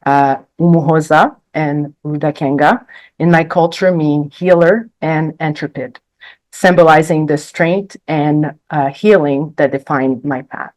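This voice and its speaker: Low-voiced woman reading a prepared speech. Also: steady tone, unhurried, darkish tone, no room sound. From Quebec, Canada. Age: 40s. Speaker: panelist, book contributor. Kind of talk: prepared speech read to an audience.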